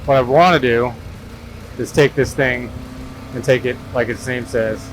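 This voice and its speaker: A middle-aged man hosting a motorcycle show, chatting casually in a relaxed, rambling way and reading sponsor lines. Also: mid-range voice, measured pace, background noise. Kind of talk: casual chat and sponsor reads. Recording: music playing underneath.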